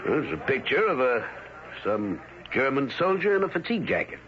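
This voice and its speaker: A man's voice: medium pitch, big pitch swings, normal speed, background noise, natural voice. An actor playing a skeptical newspaper man in a radio drama, brushing off claims with dismissive questions. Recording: dull lo-fi old radio broadcast.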